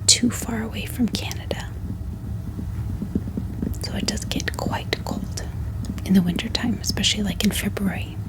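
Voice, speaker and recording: An American woman speaking in a soft, gentle ASMR style as she presents books in a calming, unhurried manner. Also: medium pitch, poor audio. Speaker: ASMR book reviewer and host. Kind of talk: soft-spoken whispered ASMR haul.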